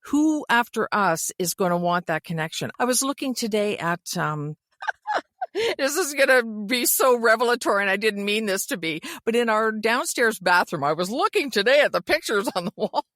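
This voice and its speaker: A middle-aged woman with a Canadian accent co-hosting a podcast in casual, conversational chat, telling things in an animated, anecdotal way. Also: on the high side, normal speed, no echo, clean studio sound. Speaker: podcast co-host.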